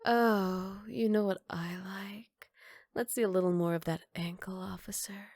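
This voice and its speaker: A woman playing a smug, flirty captured villain in an ASMR roleplay, teasing and coy with breathy murmurs. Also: medium pitch, steady tone, a little slow, a little mellow, no room sound. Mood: flirty, amused.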